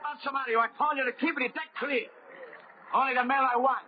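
An actor playing a brash, greedy, hot-tempered deep-sea diver in a 1930s radio drama, gruff and bossy. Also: male voice, fairly even intonation, fairly quick. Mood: impatient, angry. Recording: muffled, noisy old 1930s radio recording.

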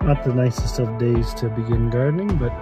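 A man's voice: mid-range voice, brisk, loud music behind the voice. A man in his thirties giving a casual tour of his garden outdoors, explaining his plans in a plain, practical way into a phone held at arm's length. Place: outdoors on a snowy rural acreage. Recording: loud wind and outdoor noise.

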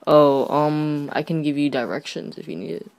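A teenage boy making a casual prank phone call in a hesitant, halting way. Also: a little high, fairly even intonation, vocal fry, dry recording. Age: teenager, fifteen. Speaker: prank caller.

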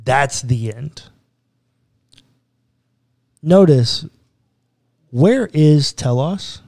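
A man in his thirties, a pastor, earnestly explaining a Bible passage step by step in a podcast conversation, close to a boom mic in a small studio. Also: animated, very slow, a little mellow, no room sound. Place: small studio room with bookshelves.

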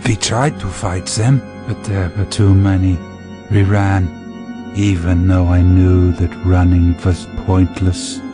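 A man playing a weary soldier confessing to God in despair, a solemn, measured monologue for an audio drama. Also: low voice, little pitch movement. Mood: haunted, despairing. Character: weary, haunted male soldier praying in despair. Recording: music behind the monologue.

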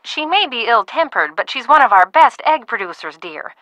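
A woman playing a fussy, genteel ranch aunt in a video game, sweetly reproachful with drawn-out endearments. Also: on the high side, sing-song, pushed and bright, dry recording, muffled recording. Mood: disappointed, scolding. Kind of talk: game dialogue acting.

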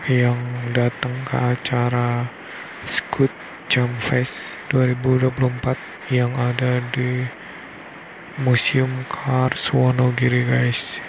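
A young man narrates his ride in a casual, chatty way, with a gravelly, flat-toned voice. He speaks into a helmet-mounted mic. Steady wind and road noise surround him. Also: medium pitch, slow. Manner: chatty, keeps tagging "guys", hesitant fillers. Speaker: motovlog host. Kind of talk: casual vlog narration while riding. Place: on a scooter on a rural road outdoors.

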